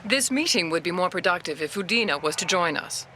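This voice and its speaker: A woman's voice: fairly low pitch, animated, fairly quick, bright, forceful tone, a little hiss or hum. A woman voicing a poised, diplomatic alien councilor in a video game, formal and authoritative. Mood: composed, formal.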